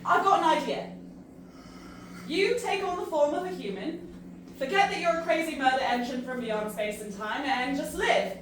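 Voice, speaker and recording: A woman playing an earnest, disillusioned human pleading in an audio drama, halting and emphatic in her delivery. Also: high-pitched, lightly acted. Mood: urgent, persuasive. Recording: very echoey, distant, noisy ambience.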